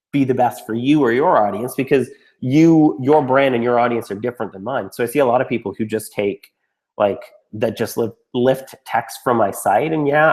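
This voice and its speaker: A man getting over a cold, a little raspy and snuffly, answering student questions in a casual, frank Q&A. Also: medium pitch. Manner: blunt, frank, with mild swearing and restarts. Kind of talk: casual Q&A talk.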